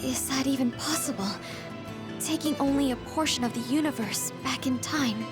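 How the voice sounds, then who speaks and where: A woman voicing a sweet, sincere young woman in an English video game dub, with an earnest, gentle manner. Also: sits high, moderate pace, over loud music, close to an everyday voice. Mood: bewildered.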